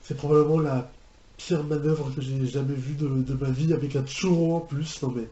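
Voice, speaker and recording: Man, casual reaction host, mellow slightly high voice, halting restarts, roomy sound with faint noise. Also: fairly even intonation, muffled recording. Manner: halting, repeats and restarts phrases. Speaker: YouTube reaction video host. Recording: roomy home recording with background noise.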